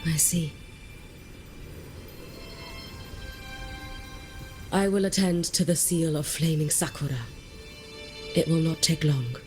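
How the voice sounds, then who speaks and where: A woman's voice: sits low, moderate pace, close to an everyday voice. A woman acting a terse, solemn warrior maiden. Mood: grave, calm. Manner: terse, formal, grave. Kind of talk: video game voice acting. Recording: loud music behind the voice. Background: loud game music.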